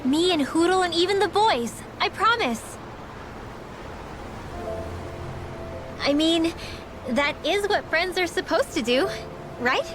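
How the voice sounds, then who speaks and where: A young mage girl from a video game, loyal and earnest. Her voice sits high and she takes her time over the words. Music plays clearly behind her. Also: expressive, measured pace. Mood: warm, reassuring. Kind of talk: video game cutscene voice acting.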